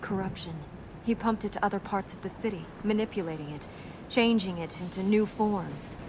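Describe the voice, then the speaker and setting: An actress playing a serious, guarded young princess in a video game, explaining earnestly and answering with dry wit. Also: female voice, medium pitch, normal speed, noisy background, natural voice.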